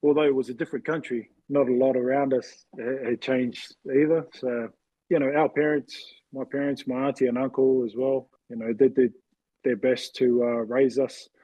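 A man in his forties with a husky voice, casually telling personal stories as an interview guest. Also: mid-range voice, steady tone, moderate pace, warm tone, no echo, muffled recording. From New Zealand, later Western Sydney, Australia. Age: 40s. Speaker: interview guest, former rugby league player.

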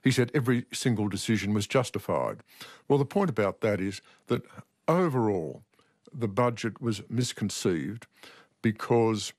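A man speaking as an interviewed author and commentator, deliberate and emphatic, repeating key phrases for stress. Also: fairly low pitch, darkish tone, no room sound, clean studio sound.

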